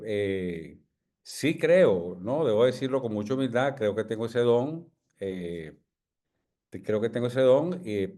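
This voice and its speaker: A man in his sixties with a Venezuelan accent, a physician answering interview questions in a measured, humble way, with many pauses and tag questions. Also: on the low side, a little mellow. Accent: Venezuelan Spanish. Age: 60s. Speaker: physician guest.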